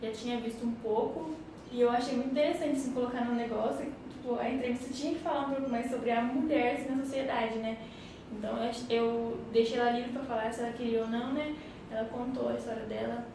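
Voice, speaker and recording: A high school student, a young woman, talking casually with many fillers. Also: steady tone, fast. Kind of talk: documentary interview. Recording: very echoey, distant, noisy.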